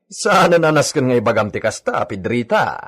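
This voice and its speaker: A man's voice: medium pitch, sing-song, normal speed, fairly bright tone, husky. A man with an Ilocano accent acting a hardworking villa servant and former gardener in a radio drama, bantering with emphatic exclamations. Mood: earnest.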